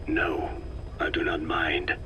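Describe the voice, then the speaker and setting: An actor playing a very old, dignified Navajo scientist, a native Navajo speaker, speaking gravely and formally with a breathy rasp. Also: male voice, very deep voice, level tone, pushed and bright, slight background noise, exaggerated voice. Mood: grave, sorrowful. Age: older. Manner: grave, deliberate, formal phrasing. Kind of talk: slow solemn storytelling dialogue in a video game.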